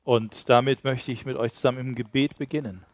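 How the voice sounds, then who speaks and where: A man's voice: mid-range voice, fairly even intonation, fairly quick, dry recording. Male church interpreter, mid-range voice, dry recording, relaying a sermon in short consecutive phrases.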